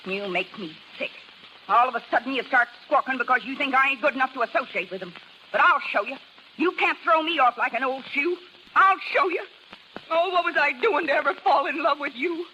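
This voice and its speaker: A bitter, scheming carnival showwoman in an old 1930s radio drama. She has a very high, piercingly bright voice and a slangy, tough American delivery. Faint noise runs through the vintage recording. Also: fairly quick, muffled recording. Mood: furious.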